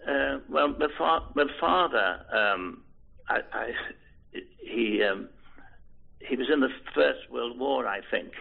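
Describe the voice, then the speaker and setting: A man in his eighties from Liverpool, England, recalling his life in a hesitant, halting way as an oral history interviewee. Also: mid-range voice, lively intonation, vocal fry, breathy edge. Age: 80s. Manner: hesitant, halting, with many restarts. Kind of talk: rambling reminiscence. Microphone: telephone. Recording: over a phone line.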